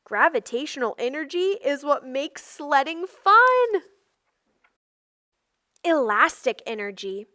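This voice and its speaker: Higher-voiced woman reading aloud, measured pace. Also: big pitch swings, pushed and bright, dry recording, clean studio sound. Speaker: read-aloud narrator. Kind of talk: children's non-fiction read-aloud.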